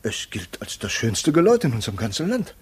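An actor playing a polite, officious defence lawyer in a 1950s German radio play, formal, hesitant and placating. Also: male voice, medium pitch, huge pitch swings, a little fast, husky, full character voice.

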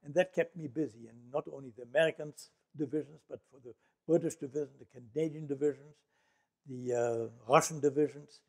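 An older man with a Dutch accent gives a reflective, storytelling lecture from an auditorium stage, pausing often on fillers. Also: a little high, fairly even intonation, creaky, darkish tone. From Netherlands. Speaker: Holocaust survivor speaker.

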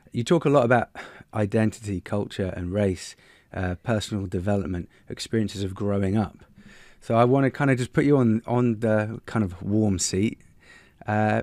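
A man's voice: on the low side, darkish tone, no echo. A man hosting a podcast interview, friendly and chatty, addressing his guest as mate. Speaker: podcast host. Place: home room with sloped ceiling. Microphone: studio mic on arm with headphones.

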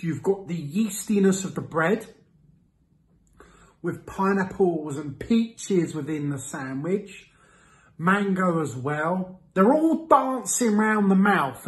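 A man in his thirties giving a casual product review to camera, with a playful, theatrical delivery and drawn-out words. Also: sits high. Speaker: host of a beer review series. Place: small indoor room with window blinds.

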